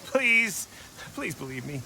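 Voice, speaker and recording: Stammering, cowardly, sharp-voiced thief. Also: male voice, fairly high pitch, sing-song, slow, gravelly, a little hiss or hum, heavily acted, rough recording. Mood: flustered, pleading. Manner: stammering, pleading. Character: cornered, cowardly male thief making flustered excuses. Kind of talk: video game voice acting.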